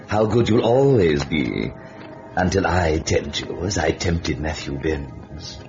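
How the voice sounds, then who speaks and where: An actor playing an urbane, sardonic Devil, narrating a radio horror drama in a theatrical, taunting manner. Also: male voice, deep voice. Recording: dull lo-fi old radio, music behind.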